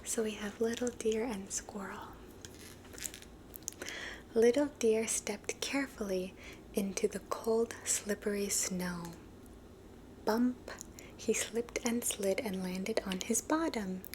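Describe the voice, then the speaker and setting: A woman reading children's stories aloud in a soft, unvoiced ASMR whisper. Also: mid-range voice, slow pace, long pauses, slight background noise, poor audio. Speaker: storybook reader.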